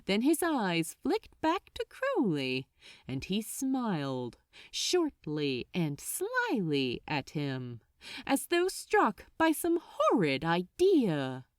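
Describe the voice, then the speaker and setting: A woman reading fiction aloud as a dramatic, deliberate and suspenseful storyteller. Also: medium pitch, wildly swooping pitch, no room sound, clean studio sound. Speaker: fan fiction narrator.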